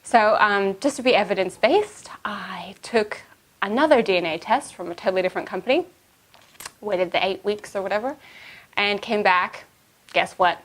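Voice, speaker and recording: A woman's voice: medium pitch, normal speed. A young Canadian woman with a Canadian accent, chatting casually to camera in a rambling way with many fillers. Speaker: vlogger.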